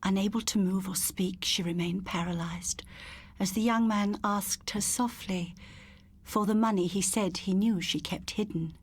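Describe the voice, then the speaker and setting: A woman's voice: mid-range voice, fairly even intonation, a little slow, breathy edge. A woman reading a scripted ghost story as an audiobook narrator, in a dramatic, suspenseful storytelling manner.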